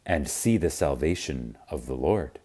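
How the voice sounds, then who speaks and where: A man reading a spiritual audiobook reverently and emphatically, with deliberate dramatic pauses. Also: a bass voice, lively intonation, mellow. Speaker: audiobook narrator.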